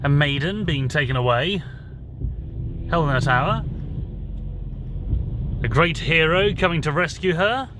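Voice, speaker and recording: A man's voice: fairly high pitch, expressive, unhurried, pauses often, noisy background. A man musing aloud informally and retelling stories, thinking as he goes and correcting himself. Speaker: solo commentator on Bible and fairy tales.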